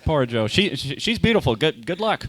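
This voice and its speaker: A man's voice: a little high, sing-song, normal speed. A man from Phoenix co-hosting a comedy podcast, teasing audience members in live crowd work. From Phoenix, USA. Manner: teasing, talks over his co-host.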